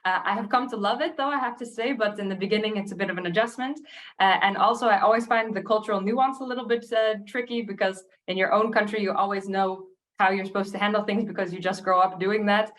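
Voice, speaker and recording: A woman's voice: mid-range voice, fairly even intonation, quick pace, a bit bright, slight background noise. A woman from the United States with an American accent, presenting a webinar in a chatty, friendly way with many filler sounds. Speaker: recruiter co-hosting a webinar.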